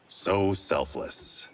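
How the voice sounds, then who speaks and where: A man's voice: low voice, exaggerated voice. An actor playing a battle-hardened, proud swordsman in a video game, dry, sardonic and sure of himself. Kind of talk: cinematic video game voice acting.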